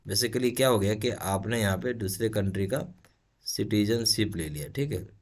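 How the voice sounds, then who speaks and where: Low-pitched Indian man teaching. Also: normal speed. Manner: instructional, checks in with frequent tag questions. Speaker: exam coaching teacher. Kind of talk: explanatory lesson reading questions aloud.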